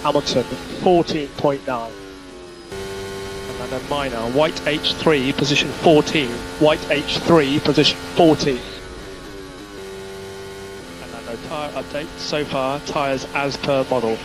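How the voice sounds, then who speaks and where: A man's voice: medium pitch, measured pace, long pauses, over music, rough recording. A man working as a race engineer, giving procedural, repeated instructions to a driver over team radio.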